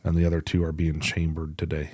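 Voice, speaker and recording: A man with a low-pitched voice speaks on a dry, close recording. He co-hosts a podcast and runs through product news in an informal, conversational style, as if talking straight to regular listeners. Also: monotone, quick pace, darkish tone, no room sound. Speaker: podcast co-host. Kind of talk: casual podcast product update.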